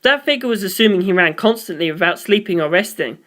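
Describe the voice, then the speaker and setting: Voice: mid-range voice, animated, fast talker, a bit bright. A narrator reading a scripted story aloud. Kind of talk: scripted narration of fan fiction.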